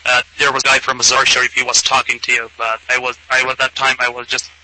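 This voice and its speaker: A male caller with an Afghan accent, speaking haltingly as he puts questions to a host, repeating and rephrasing. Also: medium pitch, completely flat delivery, bright, forceful tone, poor audio.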